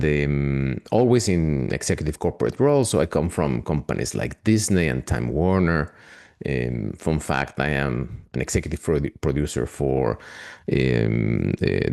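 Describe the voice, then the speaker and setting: A man's voice: a bass voice, gravelly, darkish tone. A man with a Latin American Spanish accent, speaking in a webinar interview as an AI consultant, friendly and hesitant with many fillers. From Argentina.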